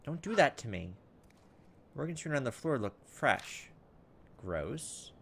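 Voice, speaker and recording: A man's voice: medium pitch, unhurried, vocal fry. A man playing through a game as host, reading its text aloud and dropping in informal, muttered asides. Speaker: let's play host. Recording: steady background noise over the voice.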